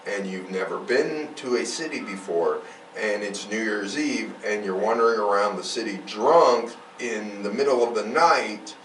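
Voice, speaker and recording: A man's voice: on the low side, a bit bright. A man hosting a true crime podcast, reading out case notes with irreverent, sweary, casual asides. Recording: roomy, distant mic, faint background noise.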